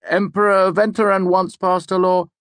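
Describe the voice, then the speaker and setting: Audiobook role: tentative male student, acted by a man, pitched well up, eager to please. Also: level tone, normal speed, fairly bright tone, no room sound. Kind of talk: audiobook character dialogue.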